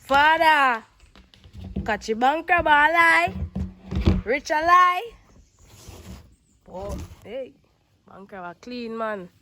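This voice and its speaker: A woman's voice: high voice, lively intonation, very slow, pauses often, bright, forceful tone, a little hiss or hum. Chatty Jamaican teen girl vlogger. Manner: chatty, rambling, drifts into patois. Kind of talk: casual vlog chat to camera.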